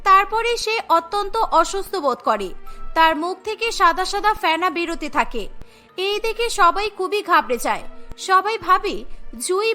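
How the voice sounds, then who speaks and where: A woman's voice: very high voice, bright, forceful tone. A woman reading scripted story narration for a cartoon.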